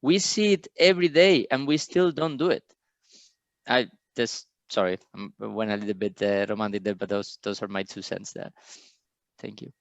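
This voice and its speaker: A man's voice: fairly low pitch, moderate pace, gravelly, dry recording. A man from Spain, speaking passionately on a panel and firing off rhetorical questions. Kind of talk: online panel discussion.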